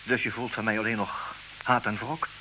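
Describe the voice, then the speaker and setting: Ironic husband, hissy old radio. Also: male voice, fairly low pitch, level tone, normal speed, bright, forceful tone, rough recording. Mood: pleading. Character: intelligent, ironic, possessive husband pleading his case. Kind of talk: old radio play acting. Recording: hissy old radio recording, clear noise.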